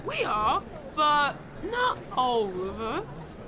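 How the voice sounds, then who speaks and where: A woman voicing a sharp, bossy young girl detective who gives brisk orders. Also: sits high, huge pitch swings, a crowd in the background, heavily acted. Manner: brisk, commanding. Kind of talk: audio drama acting.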